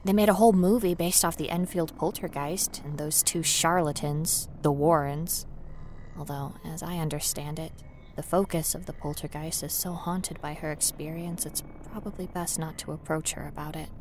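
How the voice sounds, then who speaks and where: Low-voiced, nervy female ghost hunter played by a woman. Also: fairly even intonation, normal speed, darkish tone, natural voice. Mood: subdued, musing. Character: nervous, wry female paranormal investigator in a derelict building. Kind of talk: first-person horror audio fiction, acted narration. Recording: noisy ambient sound bed behind voice.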